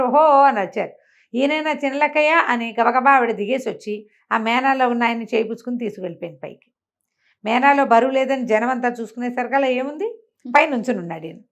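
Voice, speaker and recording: A woman telling a folk tale in an animated, conversational way, acting out the characters' exclamations. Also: on the high side. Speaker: storyteller. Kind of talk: animated oral folk storytelling to a listener.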